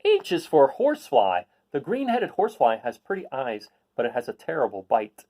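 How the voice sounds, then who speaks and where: A man's voice: medium pitch, huge pitch swings, moderate pace, mellow. A man hosting a read-aloud, reading a children's picture book and adding casual, explanatory asides for young listeners.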